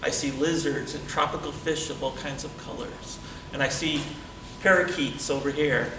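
A middle-aged man telling a comic tall tale live with energetic, theatrical delivery. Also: on the high side, moderate pace. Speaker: speech contest storyteller. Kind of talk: animated live comic storytelling. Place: indoor meeting hall with small stage. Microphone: far camera microphone. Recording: distant camera, echoey room, background noise.

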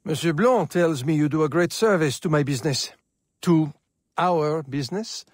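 Man playing a doting, courtly, measured father. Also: fairly high pitch, dry recording, clean studio sound, close to an everyday voice. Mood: fond, concerned. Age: middle-aged or older. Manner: gentle, formal, measured. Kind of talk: video game cutscene acting.

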